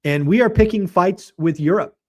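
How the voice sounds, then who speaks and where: A man hosting a real estate show, giving blunt, emphatic market commentary in a slightly hoarse voice. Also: high-pitched, normal speed. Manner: emphatic, blunt and colorful, mocking. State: slightly hoarse, losing his voice.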